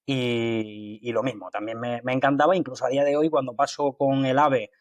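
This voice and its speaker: A man from Málaga with an Andalusian accent talks as an interview guest, starting over often and filling gaps with hesitations. His voice sits at an ordinary middle pitch. The recording is dry and close, with little room sound. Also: fairly even intonation, dry recording, muffled recording. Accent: Andalusian Spanish from Málaga. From Málaga, Spain. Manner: halting, with many fillers and restarts. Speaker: guest, water industry engineer. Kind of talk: conversational interview.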